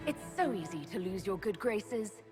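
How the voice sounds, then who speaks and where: A woman's voice: mid-range voice, lively intonation, normal speed, lightly acted. An actress playing a shrewd, coolly confident female leader in a video game, dry, composed and pointed. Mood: coolly taunting. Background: music.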